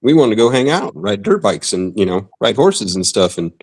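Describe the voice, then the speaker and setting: Man, low pitch, easygoing, chuckling, casual chat. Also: very animated, normal speed, darkish tone, breathy edge. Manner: easygoing, laughs while telling stories.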